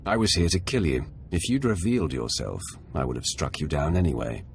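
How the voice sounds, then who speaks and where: An actor voicing a menacing, calm Sith warrior in a video game, commanding and deliberate. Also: male voice, a little hiss or hum, heavily acted. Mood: cold. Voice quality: deep, gravelly. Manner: deliberate, commanding, coolly confident.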